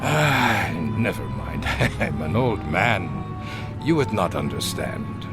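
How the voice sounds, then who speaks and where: An aging ex-Nazi officer in a radio drama, slow and hesitant, with wide swings of pitch. He is evasive and self-pitying, doubling back on his words, over prominent music. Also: big pitch swings, heavily acted. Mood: evasive, weary. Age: older. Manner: hesitant, stammering, repeats words. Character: older male former Nazi officer posing as a tourist, evasive, self-pitying.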